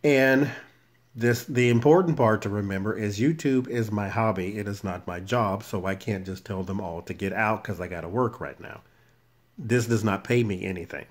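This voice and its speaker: A man with a very soft, naturally muffled voice, chatting casually to his viewers as a tarot channel host, recorded on a phone at home. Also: fairly low pitch. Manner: quiet, unhurried, never raises his voice. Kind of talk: casual vlog chat to viewers. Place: shared room at home. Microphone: cell phone camera.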